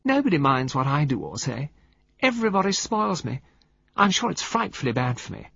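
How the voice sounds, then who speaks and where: A sweet, drawling young society woman gushes at everyone around her, as a male reader puts her on. The voice sits high and swoops a lot, a little husky. The recording is dry and dull. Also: big pitch swings, brisk, fairly bright tone, no room sound, full character voice. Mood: cooing.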